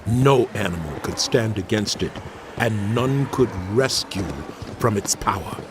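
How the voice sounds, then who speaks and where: A man's voice: lowish voice, lively intonation, measured pace, noisy background. A man playing a troubled prophet, recounting visions in solemn, dramatic scripture narration. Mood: solemn, awed.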